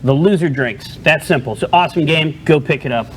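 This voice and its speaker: A man's voice: a little high, brisk, fairly bright tone, a little hiss or hum, rough recording. A young man co-hosting a casual chat, explaining game rules in a loose, rambling way. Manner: rambling, lots of fillers and throat clearing. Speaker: co-host.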